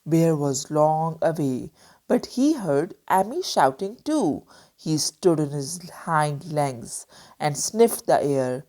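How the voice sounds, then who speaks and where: A woman storyteller reading a children's book aloud with expressive narration. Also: low-pitched.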